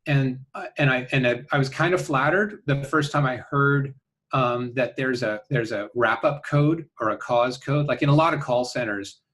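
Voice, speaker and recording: A man answering interview questions conversationally, earnest and halting, often checking in with a "right?". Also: mid-range voice, fairly bright tone. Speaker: interview guest, company founder.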